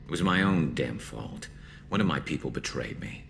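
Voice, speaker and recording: A man playing a grim, vengeful alien mercenary in a video game, measured and brooding, with a flanged, filtered growl. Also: rumbling low, expressive, creaky, fairly bright tone, a little hiss or hum, full character voice. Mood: bitter, determined. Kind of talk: video game dialogue acting.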